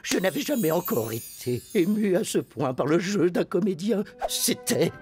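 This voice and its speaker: Pompous, swooping cartoon principal. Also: male voice, high voice, very animated, normal speed. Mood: moved, grandiose. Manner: pompous, melodramatic. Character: pompous, overdramatic male school principal playing theatre director. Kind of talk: French cartoon dubbing. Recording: music behind the voice.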